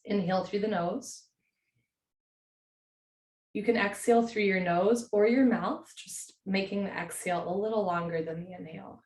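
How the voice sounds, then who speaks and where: A woman with a hoarse voice from a cold, teaching a yin yoga class from home in a slow, gentle, soothing way. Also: mid-range voice, fairly even intonation, echoey room.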